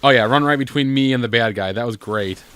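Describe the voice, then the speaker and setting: A man's voice: mid-range voice, fast, fairly bright tone. A man with a bad cold giving casual, joking game commentary with dry sarcasm as a co-host. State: has a bad cold, coughing. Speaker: gaming video co-host. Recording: noisy room, game sounds behind.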